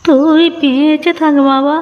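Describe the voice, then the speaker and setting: A woman voicing a frail, bedridden old aunt in a cartoon, plaintive and slow. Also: high-pitched, steady tone. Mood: pleading. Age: older. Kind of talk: cartoon moral-story dialogue. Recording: dull reverberant track, music behind.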